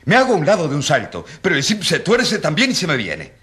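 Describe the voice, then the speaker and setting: An actor playing an ironic, defiant rural priest, eloquent and emphatic, in a radio drama. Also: male voice, on the high side, huge pitch swings, fast, sharp tone, exaggerated voice. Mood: ironic, accusing.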